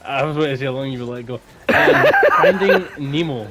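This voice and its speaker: A man's voice: medium pitch, wildly swooping pitch, a little fast, crowd noise, poor audio. A man co-hosting a podcast, talking in a casual, chatty style. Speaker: podcast co-host. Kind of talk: casual chat.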